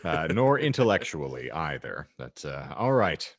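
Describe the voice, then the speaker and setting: A man's voice: a bass voice, big pitch swings, moderate pace, no echo, clean studio sound. A man hosting a podcast in casual chat, with a wordy, mock-grand way of phrasing things. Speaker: podcast host.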